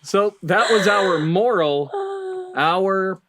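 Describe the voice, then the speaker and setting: A man hosting a comedy panel game in a deliberate, mock-ceremonial way with dramatic pauses. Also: sits high, fairly bright tone, a little hiss or hum. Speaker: game show host and headmaster.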